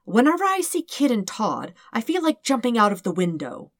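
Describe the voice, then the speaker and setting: A woman reading fan fiction aloud, voicing an excitable, dramatic man who is flustered and earnest. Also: on the high side, moderate pace, no room sound, studio quality, pitched above the performer's own voice. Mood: distressed. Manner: theatrical, emphatic, swinging between alarm and sheepishness.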